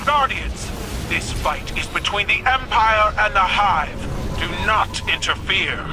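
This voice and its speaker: Haughty game alien empress, slow commanding delivery, prominent music behind. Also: female voice, medium pitch, animated. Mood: imperious, warning. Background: loud music.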